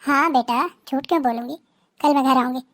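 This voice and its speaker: An actress playing a gravely ill young mother, soothing her crying daughter in a soft, reassuring way. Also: high voice, fairly quick, no echo. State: weak, ill. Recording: faint music behind.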